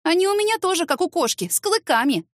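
Woman playing a feisty, high-voiced expressive mother. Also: quick pace, no echo, studio quality. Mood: indignant. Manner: expressive, exclamatory, stammering when flustered. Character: feisty, flustered mother and secretary, quick-tempered, tender.

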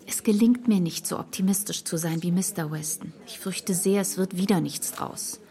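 A kindly motherly woman in a radio play , played by a woman with a somewhat low voice. She speaks gently and doubtfully, sharing her fears. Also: normal speed, close to an everyday voice. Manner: gentle, confiding.

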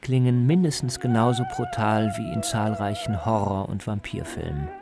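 A man's voice: lowish voice, moderate pace, vocal fry. A man speaking as a reporter, reading scripted narration for a radio feature.

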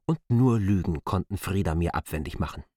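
A stern, calculating surveyor in a radio play, cold and carefully reasoned. The voice sits low, and the pitch moves a lot. The sound is close and dry. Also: male voice, lively intonation, brisk, dark tone, dry recording. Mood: threatening. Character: K, a stern, scheming land surveyor, adult man. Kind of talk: German radio play acting.

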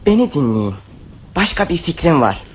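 A performer playing a cheeky, blundering, excitable boy in a radio play. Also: medium pitch, normal speed, slight background noise, natural voice. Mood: eager, scheming. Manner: blurting, excitable. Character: cheeky, blundering boy named Filip, eager schemer. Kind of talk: radio theatre acting.

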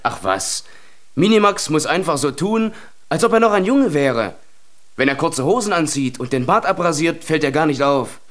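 High-voiced, boisterous farmhand. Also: male voice, lively intonation, normal speed, a bit bright, no echo. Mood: teasing, breezy. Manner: boisterous, teasing. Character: cheerful, teasing farmhand, easily alarmed. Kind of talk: children's radio play acting.

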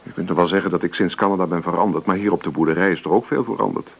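An actor playing a restless, evasive young student and farm son in a Dutch radio play, brushing off worries breezily. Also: male voice, deep voice, very fast, creaky. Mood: defensive, dismissive. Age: young adult.

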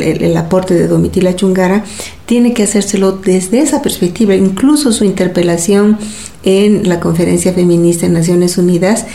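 A woman's voice: on the low side, gravelly, mellow. A woman giving reflective, analytical interview answers in academic wording, recorded in a roomy space. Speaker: feminist activist and social psychologist being interviewed. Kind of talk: spontaneous analytical interview talk.

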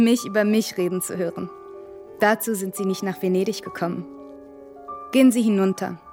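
An actress in a German radio drama playing a polite, busy Venetian hostess with a formal, apologetic yet brisk manner. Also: female voice, on the high side, a little mellow, lightly acted.

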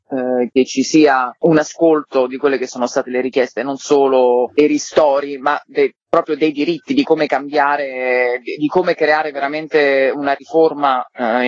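A man giving considered interview answers as an activist guest, articulate and measured in his wording. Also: a little high. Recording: dull lo-fi call, roomy echo.

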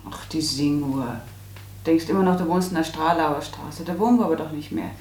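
An actress playing a self-assured, practical daughter in a German radio drama, speaking in a brisk, matter-of-fact, managing way. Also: female voice, low voice, darkish tone, slight background noise, close to an everyday voice. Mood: indulgent.

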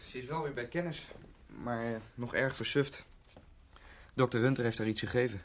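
A man's voice: mid-range voice, normal speed, lightly acted. A man playing a dutiful young student son in a radio drama, respectful and a little hesitant. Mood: polite, subdued.

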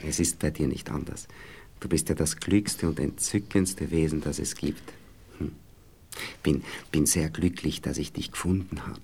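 A man's voice: very deep voice, fairly even intonation, normal speed, vocal fry, warm tone, slight background noise. An actor playing a smug, moralizing husband in a radio play, with an Austrian accent, talking down to his young wife as he gropes for words. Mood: earnest, fond. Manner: patronizing, hesitant, groping for words.

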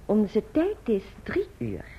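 An actress playing a prim, formal maiden aunt in a radio drama, stiff, precise and ceremonious. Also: female voice, mid-range voice, huge pitch swings, slow pace, husky, heavily acted. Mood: prim, businesslike. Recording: muffled, dull old radio recording.

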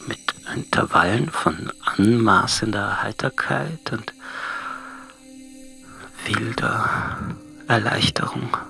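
An actor playing a solitary, reflective last man on earth in a radio drama, brooding and measured, with music behind him. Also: on the low side, creaky, bright, forceful tone, full character voice, rough recording. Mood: brooding, uneasy. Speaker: actor narrating a radio play. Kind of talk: literary radio drama monologue.

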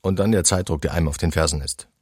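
An actor playing a cynical, husky-voiced narrator of courier life in a radio drama. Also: male voice, low voice, steady tone, fast talker, a little mellow, dry recording, studio quality. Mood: sardonic. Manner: sardonic. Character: cynical male narrator describing courier work.

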